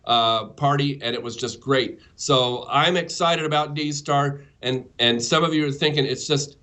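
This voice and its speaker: A man's voice: medium pitch, measured pace, bright, forceful tone. A man giving a casual live demonstration as a presenter, enthusiastic and informal with his audience. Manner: enthusiastic, informal, addresses listeners as folks. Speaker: presenter of a ham radio segment.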